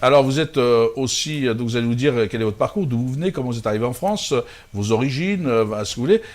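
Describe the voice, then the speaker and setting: A man's voice: medium pitch, lively intonation, quick pace. A man hosting an interview show, welcoming viewers and asking questions with frequent hesitations and fillers. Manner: hesitant, many fillers, friendly. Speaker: show host.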